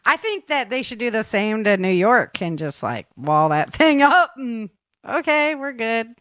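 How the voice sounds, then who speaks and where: A woman's voice: on the high side, sing-song, unhurried, a bit bright, no room sound, clean studio sound. A woman hosting a casual chat in a talkative, giggly way, often acting out little quotes. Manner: chatty, laughs often, acts out little quotes. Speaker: audio drama producer and show host.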